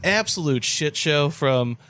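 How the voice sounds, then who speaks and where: A man's voice: fairly high pitch, expressive, slow pace, sharp tone. A man in casual conversation as a podcast co-host. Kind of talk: casual chat.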